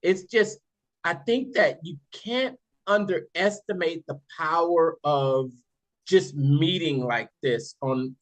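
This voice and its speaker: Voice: medium pitch, low-quality recording. A host leading a sales practice video call, encouraging and affirming the others. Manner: encouraging, affirming, drawn-out delivery. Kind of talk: casual group call.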